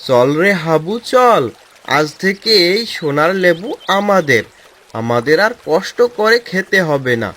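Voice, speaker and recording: Man as a slow, taunting village rascal. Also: on the high side, sing-song, a bit bright, full character voice. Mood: greedy, excited. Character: greedy, bullying village rascal, scheming ringleader. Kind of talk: animated fairy-tale villain acting.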